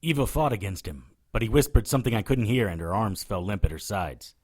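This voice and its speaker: A wry witch hunter telling his own adventure in an audio drama. His voice sits fairly low, dry and sardonic, with a cocky streak. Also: expressive, a little fast, darkish tone, breathy edge. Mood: grave narrating. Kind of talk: first-person audio drama narration and dialogue.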